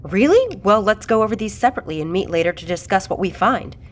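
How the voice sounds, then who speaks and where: A woman's voice: mid-range voice, expressive, quick pace, a little hiss or hum, natural voice. A woman playing a direct, questioning doctor and project leader in an audio drama. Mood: composed, assertive.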